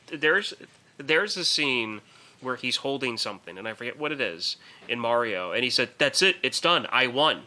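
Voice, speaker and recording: A man's voice: medium pitch, sharp tone. A man co-hosting a podcast, talking casually and conversationally about films. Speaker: podcast co-host.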